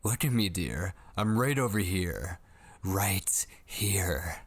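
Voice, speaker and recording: A man playing an obsessive, possessive kidnapper and coaxing lover in an intimate, whispery ASMR roleplay, husky and close to the mic. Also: deep voice, animated, full character voice. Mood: pleading, possessive. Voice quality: husky, breathy, often dropping into whispers. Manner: slow, coaxing, possessive endearments. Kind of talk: intimate ASMR roleplay, scripted dramatic monologue. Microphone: close studio mic, dry.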